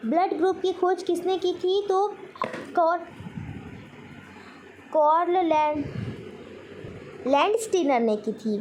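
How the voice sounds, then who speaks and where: A woman's voice: extremely high pitch, a little hiss or hum. A woman teacher giving a general knowledge lesson, posing questions and then answering them herself in an instructional way. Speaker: quiz teacher.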